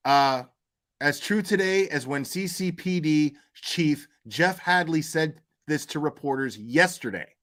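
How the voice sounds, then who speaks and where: Blunt, higher-voiced male livestream commentator. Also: measured pace, fairly bright tone. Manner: blunt, argumentative, coarse-mouthed. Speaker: livestream host commenting on crime cases. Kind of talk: unscripted opinionated livestream commentary.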